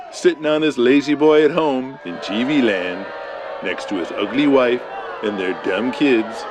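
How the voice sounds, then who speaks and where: An arrogant, flamboyant wrestling star cutting a TV promo. He stretches his words slowly, boasting and sneering at everyone. A loud, noisy crowd sits right behind him. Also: medium pitch. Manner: boastful, sneering, drawn-out showman delivery. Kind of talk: 1980s TV wrestling promo acting.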